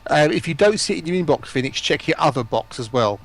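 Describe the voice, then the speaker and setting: A man's voice: on the high side, lively intonation, quick pace. A man chatting casually as a guest on a livestream. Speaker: guest streamer.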